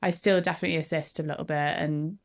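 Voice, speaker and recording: A woman's voice: low voice, steady tone, quick pace, gravelly. A woman, chatting casually as a guest and laughing often. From northern England. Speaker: photographer guest. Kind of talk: casual podcast interview.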